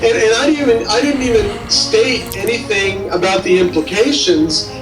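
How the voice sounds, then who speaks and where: A male scientist with a notably high voice tells of his own experience as an interviewee. He sounds distant from the microphone in a reverberant room, with faint music beneath. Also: moderate pace. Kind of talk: recounting personal experience in an interview.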